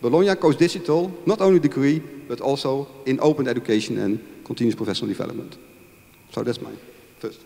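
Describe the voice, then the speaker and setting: A man's voice: mid-range voice, fast talker, vocal fry, warm tone. A man in his fifties speaking emphatically as a panelist, arguing with rhetorical questions into a handheld microphone. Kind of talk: panel discussion argument. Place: large conference room with audience. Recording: echoey hall over handheld microphone.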